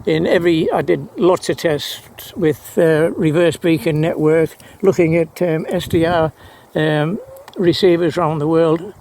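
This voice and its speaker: A man with a fairly high-pitched voice talks casually as a hobbyist presenter. He explains things off the cuff in a halting, rambling way, circling back and repeating phrases as he goes. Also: darkish tone. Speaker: amateur radio hobbyist presenter. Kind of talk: casual explanatory vlog, unscripted. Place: outdoors by trees and a fence.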